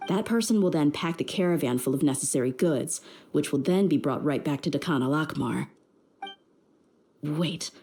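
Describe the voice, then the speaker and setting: A woman's voice: deep voice, dark tone. A woman voicing a tough, confident young female mercenary with a blunt, streetwise manner in a video game. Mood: calm, businesslike. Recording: music behind the voice. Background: game music.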